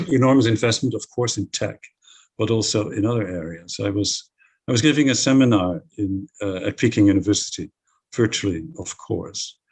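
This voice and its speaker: A man's voice: on the low side, moderate pace, breathy edge, low-quality recording. A middle-aged man whose first language is Dutch, speaking on a panel discussion with blunt, emphatic opinions.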